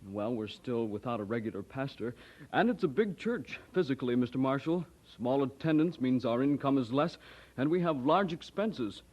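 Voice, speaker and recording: An actor playing a polite, worried church representative in a radio drama, measured and deferential. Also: male voice, mid-range voice, animated, natural voice. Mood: worried, pleading. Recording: dull old radio drama recording.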